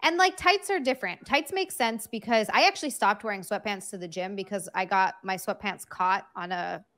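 A woman's voice: medium pitch, brisk, fairly bright tone. A woman guest on a casual panel chat who answers chattily and shares personal anecdotes over a video call.

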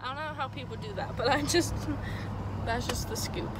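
A woman's voice: sits high, moderate pace. A teenage girl, chatty and teasing, narrating her own vlog into a handheld phone from a car and a store. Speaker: vlogger. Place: car, then small store. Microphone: phone held in hand. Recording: loud background noise.

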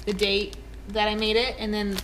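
A young woman talks brightly and casually straight into a handheld camera held at arm's length, explaining things step by step. Her voice sits at a middle pitch, with clear background noise under it. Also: level tone, normal speed. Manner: chatty, upbeat explaining. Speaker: family vlogger. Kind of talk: casual vlog narration. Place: in a car, then a home kitchen. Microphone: handheld action camera at arm's length.

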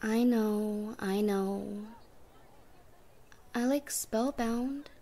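A woman's voice: a little high, extremely slow, mellow. A woman playing a timid but defensive young goth newcomer in an ASMR roleplay.

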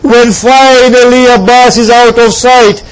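A man with a strikingly high voice delivers a religious lament as a preacher. He speaks slowly and solemnly, shaping the story with impassioned, plaintive drama. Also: steady tone, clean tone, low-quality recording. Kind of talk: mournful devotional sermon storytelling.

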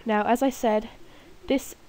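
Young woman with a stuffy nose from a cold, mellow voice, gaming vlogger who rambles and laughs at herself. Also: a little high, fairly even intonation, unhurried. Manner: rambling, self-mocking, giggly. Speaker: gaming video creator. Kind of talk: casual gameplay commentary. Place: room at home, alone at night.

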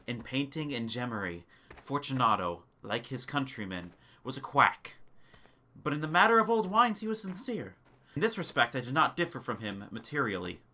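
A man giving a dramatic scripted narration of a gothic tale, with theatrical, deliberate phrasing. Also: mid-range voice, bright, forceful tone.

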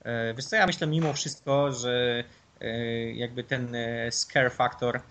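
A man with a voice of ordinary middle pitch, talking casually as a podcast guest. He lays out his views at length, circling back and restarting as he goes. His tone is relaxed and conversational. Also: slow. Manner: opinionated, rambling explanations. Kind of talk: casual chat.

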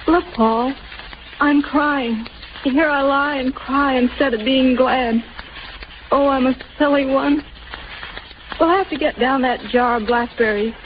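A weak, worried wartime mother in an old radio drama. She speaks in a high voice, tender and tearful, with halting phrases. The 1930s broadcast is muffled and very noisy. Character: frail, starving, anxious mother of a young German soldier.

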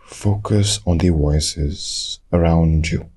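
A man leading a guided meditation, giving calm, measured instructions in short phrases with long pauses. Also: low-pitched, breathy edge.

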